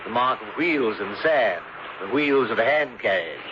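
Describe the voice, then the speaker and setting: A man's voice: medium pitch, expressive, moderate pace, lightly acted. An actor playing a suave, over-genial English uncle in a radio mystery, urbane and florid in his talk. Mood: genial, doting. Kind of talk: old-time radio drama acting. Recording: noisy dull old radio broadcast.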